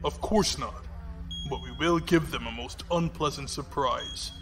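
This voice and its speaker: A man playing a composed, disdainful fleet commodore in an audio drama, with a measured, formal, commanding delivery. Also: medium pitch, a bit bright, a little hiss or hum. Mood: cool disdain.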